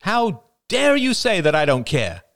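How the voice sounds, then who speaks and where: A man's voice: huge pitch swings, a little slow, fairly bright tone, heavily acted. Man putting on an indignant aging mother. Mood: sneering. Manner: shrill and defensive. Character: indignant aging mother, defensive and sharp-tongued.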